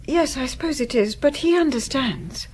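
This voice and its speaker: A woman's voice: sits high, sing-song, moderate pace, a little mellow, slight background noise. A woman playing an anxious, protective wife and mother in a radio drama, speaking formally and hesitantly. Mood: anxious, loyal.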